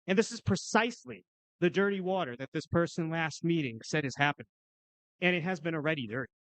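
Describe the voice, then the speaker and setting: A man with a notably high, smooth and clear voice addresses a public meeting. He reads prepared remarks in an argumentative, emphatic way, leaning on rhetorical phrasing. The sound is dry, with little room echo. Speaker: public commenter at a school board meeting.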